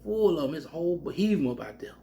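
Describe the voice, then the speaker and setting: A man teaching scripture in a loose, colloquial country way, breaking into loud whoops. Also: fairly high pitch, fast talker, slight background noise. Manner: animated, bursts into loud whoops; colloquial Southern speech. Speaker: preacher.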